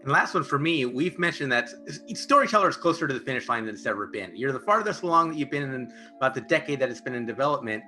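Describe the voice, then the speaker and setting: Male interviewer, bright forceful voice, very lively pitch, casual questioning, faint music behind. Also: fast talker, hardly any pauses. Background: background music.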